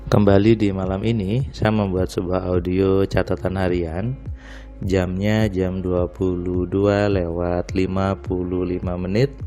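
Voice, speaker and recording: A man recording a relaxed spoken diary on his home terrace at night, his voice a little nasal and stuffy, rambling with frequent fillers. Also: deep voice, measured pace, soft background music. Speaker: motivational audio diarist. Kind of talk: casual spoken diary monologue.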